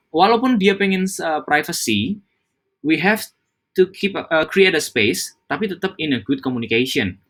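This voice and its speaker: A man's voice: medium pitch, fairly bright tone. A young man giving an informal training talk to hospitality coworkers, mixing English phrases into casual speech, with frequent fillers and restarts. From Java, Indonesia.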